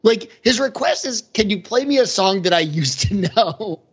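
A man's voice: high-pitched, expressive, normal speed, slightly rough. A man speaking as a podcast panelist, giving conversational analysis in an earnest, explanatory manner. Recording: dull, lo-fi audio.

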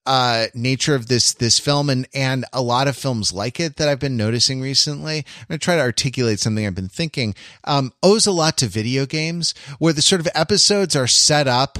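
A man co-hosting a podcast, talking through a film casually and haltingly, repeating phrases as he thinks aloud. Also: medium pitch, a bit bright, no room sound, studio quality. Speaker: podcast co-host.

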